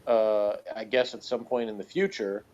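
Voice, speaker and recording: A man chatting casually as a review co-host, talking in a wordy, halting, rambling way. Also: lowish voice, steady tone, a little mellow. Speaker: podcast co-reviewer. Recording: dull, lo-fi sound.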